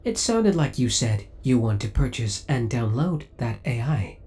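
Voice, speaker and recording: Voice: mid-range voice, sing-song, unhurried, darkish tone. An actor voicing a possessive, devoted spaceship AI in an audio roleplay, speaking in a formal, precise, clinical and politely menacing way. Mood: controlling, politely firm. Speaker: voice actor playing a ship's AI. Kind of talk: scripted ASMR audio roleplay acting.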